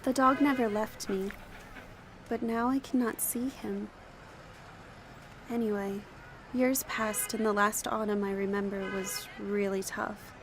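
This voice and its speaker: Woman playing a slow, wistful dying homeless girl. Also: mid-range voice, fairly even intonation, background noise, lightly acted. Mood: wistful, weary. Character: dying homeless young woman, wistful, lonely, weary narrator. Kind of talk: first-person audio drama narration. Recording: voice over music.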